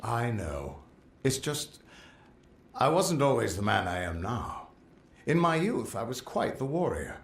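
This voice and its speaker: A man's voice: mid-range voice, sing-song, unhurried. An actor playing a rueful, rambling middle-aged fortune-teller and former warrior who likes his drink, in a fantasy game. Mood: nostalgic. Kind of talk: fantasy video game dialogue. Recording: roomy echo.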